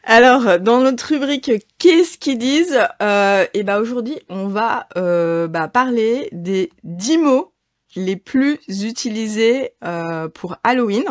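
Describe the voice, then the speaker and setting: Higher-voiced woman hosting a chat. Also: expressive, takes it slowly, no room sound, studio quality. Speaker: podcast host. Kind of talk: casual podcast chat.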